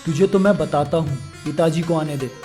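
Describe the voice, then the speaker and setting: Woman doing a low, haughty spoiled young man. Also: moderate pace, mellow, soft background music, natural voice. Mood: angry, haughty. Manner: haughty, demanding. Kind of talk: animated moral story dialogue.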